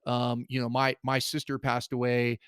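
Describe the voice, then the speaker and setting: A man of middle pitch hosts an interview, speaking haltingly with many restarts and fillers. His voice is smooth and clear, recorded dry and close. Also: completely flat delivery, fairly bright tone, no room sound. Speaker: podcast host. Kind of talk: interview questions.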